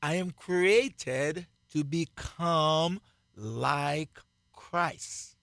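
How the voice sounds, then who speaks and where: A man with a Jamaican accent, preaching on a radio broadcast in a slow, emphatic way and repeating key phrases. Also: a little high, gravelly, fairly bright tone, dry recording, studio quality. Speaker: pastor. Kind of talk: radio sermon, co-hosted Bible teaching.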